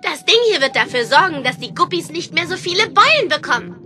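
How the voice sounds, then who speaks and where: A woman voicing a cheerful, confident animal inventor in a children's cartoon audio drama, brisk in manner. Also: high voice, animated, music in the background.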